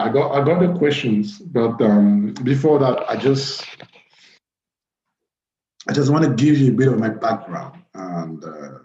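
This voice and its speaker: A man in his thirties from Cameroon hosting an informal live webinar, speaking haltingly and repeating phrases. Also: mid-range voice, darkish tone, a little hiss or hum. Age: 30s. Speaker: webinar host. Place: small plain room indoors. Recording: roomy, dull webcam audio.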